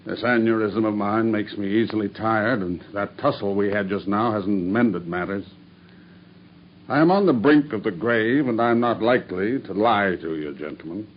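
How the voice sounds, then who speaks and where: An actor playing a grim, dying male cab driver who makes a steady, grave confession in an old radio drama. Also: fairly low pitch, moderate pace, slight background noise, close to an everyday voice. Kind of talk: 1950s BBC radio drama acting. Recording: dull old radio broadcast.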